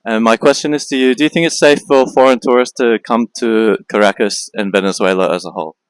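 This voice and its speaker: Guy doing street interviews, normal pitch, dry sound, asks stuff with lots of uh's. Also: steady tone, fast talker, smooth, no echo. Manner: asks short, repeated questions with fillers. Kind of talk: street vox-pop interview.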